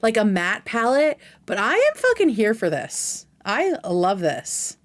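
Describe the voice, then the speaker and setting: A woman's voice: on the high side, wildly swooping pitch, measured pace. A woman hosting a casual livestream, giving effusive, slangy commentary peppered with swearing. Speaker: livestream host reacting to a video.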